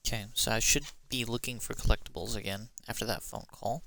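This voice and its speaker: A man's voice: mid-range voice, fairly even intonation, a little fast, gravelly, bright, forceful tone, slight background noise. A man giving casual live commentary as he plays a video game, sometimes reading directions aloud.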